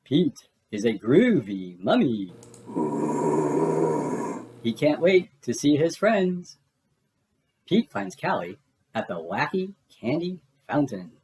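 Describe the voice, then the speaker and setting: Animated woman reading slowly to children. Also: low voice, a little hiss or hum, low-quality recording. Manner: exaggerated, expressive delivery. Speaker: children's storybook reader. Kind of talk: animated read-aloud narration for young children. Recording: music behind the voice. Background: music and sound effects.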